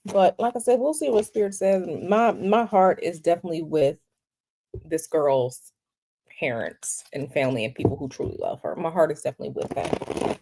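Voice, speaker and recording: A woman hosting a tarot livestream, talking casually in a halting, repetitive way with many fillers, sniffly from a cold. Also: lowish voice, creaky, mellow, slight background noise, rough recording.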